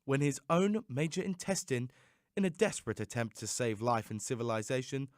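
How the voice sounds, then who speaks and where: Male audiobook narrator: mid-pitched, lively and theatrical, recorded dry. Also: dry recording. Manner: theatrical, expressive storytelling. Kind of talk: dramatic scripted audiobook narration.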